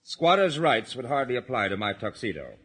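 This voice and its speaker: A man playing a firm, exasperated middle-aged father with a formal, measured manner in a 1940s radio comedy. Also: medium pitch, sing-song, a bit bright, breathy edge.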